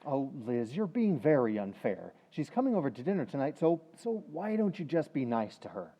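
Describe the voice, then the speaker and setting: A man's voice: medium pitch, big pitch swings, moderate pace, mellow. A man playing a sheepish, mild-mannered husband in old-time radio comedy, hesitant and placating. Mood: sheepish, pleading. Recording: muffled, reverberant hall recording.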